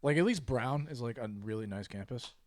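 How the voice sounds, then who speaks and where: A man's voice: medium pitch, animated, brisk. An American man from New England co-hosting a podcast, talking casually and wandering off into loose asides. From New England, United States. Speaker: podcast co-host. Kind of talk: casual chat.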